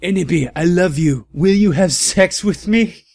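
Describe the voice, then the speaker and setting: A man's voice: sits high, unhurried, creaky, darkish tone, no room sound, voice pushed up, heavily acted. A man voicing a lovestruck villain's henchman with theatrical, declamatory delivery in a comic story reading. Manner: declamatory, overblown.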